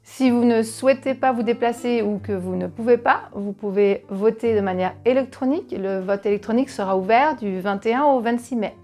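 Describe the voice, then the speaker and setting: Une femme qui lit une annonce préparée d'un ton régulier et posé. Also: female voice, on the high side, fairly quick, few pauses. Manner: even, scripted delivery. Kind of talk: read announcement.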